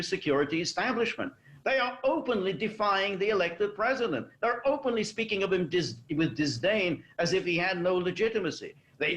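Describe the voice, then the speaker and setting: A man giving measured, explanatory commentary as a guest in a political panel discussion. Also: high voice, a bit bright. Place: remote call for a quarantine show. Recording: dull lo-fi connection.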